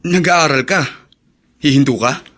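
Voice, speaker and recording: Easygoing young Filipino buddy. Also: male voice, on the high side, a little slow, a bit bright. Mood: casual, frank. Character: easygoing, street-smart young buddy, frank but helpful. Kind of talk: Filipino radio drama acting.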